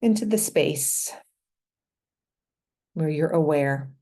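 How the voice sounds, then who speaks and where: Female meditation guide: low, soft-edged mellow tone, gentle and unhurried delivery in short, spaced phrases. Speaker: meditation leader and physician.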